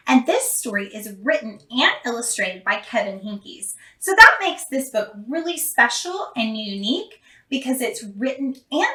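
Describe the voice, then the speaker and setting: A woman's voice: sits high, bright, forceful tone. A middle-aged woman reading a children's story aloud expressively, stretching key words for emphasis. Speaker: storybook reader. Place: small plain indoor room. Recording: roomy echo, distant microphone.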